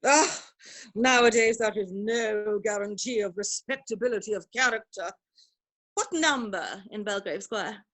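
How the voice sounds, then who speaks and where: A woman playing an imperious, snobbish older aristocratic mother in a theatrical comedy reading, speaking with pompous, deliberate emphasis. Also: mid-range voice, fairly bright tone. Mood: disapproving. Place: virtual performance from home in isolation.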